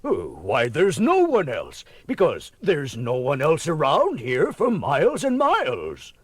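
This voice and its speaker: A man's voice: on the high side, wildly swooping pitch, a little slow, husky, no room sound, exaggerated voice. An actor playing a kindly, fretful old man, lamenting dramatically in a cartoon audio drama. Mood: worried, earnest. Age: older.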